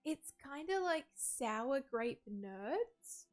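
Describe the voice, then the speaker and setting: Girl streaming, kinda high voice, rambly, says like and um a lot, quiet music behind. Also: big pitch swings, very slow. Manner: chatty, rambling, frequent "like" and "um", laughs often. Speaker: livestreamer. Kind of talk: casual chat, reading out viewer messages.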